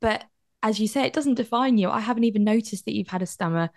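A woman's voice: fairly high pitch, fast talker, darkish tone, no room sound, studio quality. A woman hosting a podcast, asking her guest open questions and following up on what he says. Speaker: podcast host. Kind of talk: interviewing a guest.

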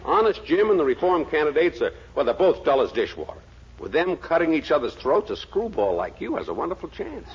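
A man's voice: a little high, sing-song, brisk, husky, full character voice. An actor playing a cynical, hard-drinking American newspaperman in a 1940s radio comedy, dry and sardonic. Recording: muffled, noisy 1940s radio recording.

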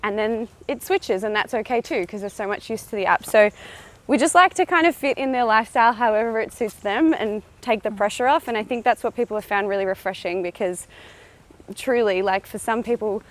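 A woman's voice: fairly high pitch, a little fast. A woman, an entrepreneur answering as a guest in a casual interview, earnest and chatty and full of "like" and "kind of", while walking outdoors in a park.

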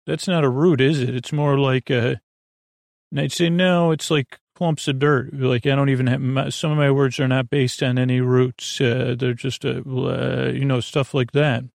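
A man with a creaky voice hosting a sleep podcast, rambling in a lulling, soothing way with halting tangents and word fragments. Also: medium pitch, no echo, studio quality. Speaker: podcast host, bedtime storyteller. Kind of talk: meandering bedtime monologue for a sleep podcast.

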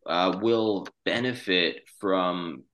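A man hosting a casual podcast discussion, earnest and halting as he works through his points. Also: low-pitched, a bit bright. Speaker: podcast host.

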